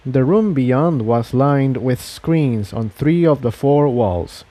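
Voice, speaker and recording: A man reading an adventure fan fiction story aloud as scripted narration, in a mellow voice. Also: medium pitch, expressive, slow pace, no room sound.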